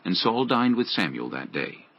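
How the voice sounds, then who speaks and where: A man's voice: fairly low pitch, very animated, moderate pace. A man reading scripted dramatic narration for an audio Bible. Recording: dull lo-fi audio with music. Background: background music.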